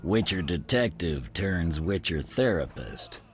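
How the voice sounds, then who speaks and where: An actor playing a gruff, brooding werewolf guardsman in a video game, curt and sardonic. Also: sits low, sing-song, slow, exaggerated voice. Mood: gruff, defensive. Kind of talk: video game dialogue acting.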